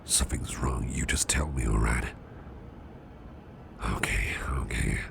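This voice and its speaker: A man playing a protective, gentle boss in a soft ASMR roleplay, fussing reassuringly in a half-whisper with hesitant, repeated phrases. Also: flat delivery, a little slow, full character voice. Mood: gentle concern. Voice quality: very deep gravelly half-whisper. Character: protective, gentle, fussing male boss comforting exhausted employee. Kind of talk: ASMR roleplay, close personal attention. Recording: close recording with loud background noise.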